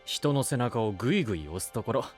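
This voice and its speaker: Man acting a lively young male knight with expressive swings. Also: mid-range voice, a little fast, music in the background, close to an everyday voice. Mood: relaxed, reassuring. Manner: casual, blunt masculine speech, reassuring. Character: young male knight, casual, cool, supportive big-brother type. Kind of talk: anime-style voice drama acting.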